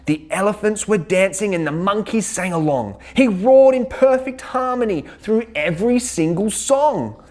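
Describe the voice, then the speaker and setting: A woman hosting a children's read-aloud, telling a rhyming picture book in an expressive, dramatic way. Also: mid-range voice, moderate pace, few pauses. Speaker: children's story reader and host.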